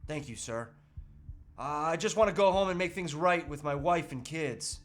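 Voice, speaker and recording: Man playing a higher-voiced, anguished family man. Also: lively intonation, unhurried. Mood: meek, grateful. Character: anguished, reluctant family man tempted astray. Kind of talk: comedic live table read.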